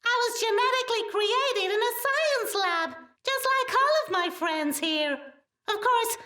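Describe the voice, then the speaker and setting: A woman playing a chatty, fretful lab rat in an audio play, with a squeaky voice and emphatic delivery. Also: expressive, unhurried, pushed and bright, far from the mic, full character voice. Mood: matter-of-fact. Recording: echoey room, off the mic.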